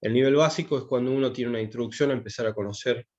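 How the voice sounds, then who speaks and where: A man's voice: mid-range voice, rapid-fire, a little mellow, muffled recording. Hesitant man in his fifties. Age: 50s. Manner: hesitant, many fillers and restarts. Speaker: taekwondo instructor teaching English. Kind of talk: online class presentation.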